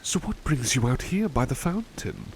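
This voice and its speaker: A man playing a devoted, polite butler in an ASMR roleplay, speaking softly and hesitantly with courteous formality, often slipping toward a whisper. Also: medium pitch, very animated, moderate pace, a little hiss or hum, poor audio. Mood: courteous, warm. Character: devoted, affectionate, polite male butler comforting his companion. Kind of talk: ASMR roleplay, intimate personal attention.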